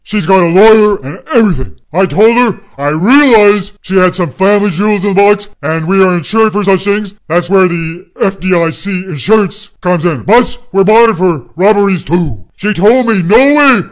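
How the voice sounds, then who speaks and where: A man's voice: high voice, normal speed, hardly any pauses, close to an everyday voice. A man playing a fussy, distraught bank manager in a radio play, scolding and dramatic. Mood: stern, worried.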